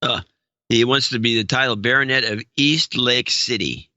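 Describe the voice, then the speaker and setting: A man's voice: medium pitch, moderate pace, bright, forceful tone, dry recording. A man co-hosting a talk podcast, reading listener notes aloud with dry humor. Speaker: podcast co-host. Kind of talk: casual chat, reading donor notes.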